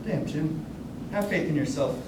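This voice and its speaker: A man's voice: fairly high pitch, moderate pace, lightly acted. A young man playing a breezy, clueless businessman in a staged reading of a musical. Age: young adult. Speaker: student actor. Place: echoey indoor room, distant mic. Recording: noisy echoey room from far off.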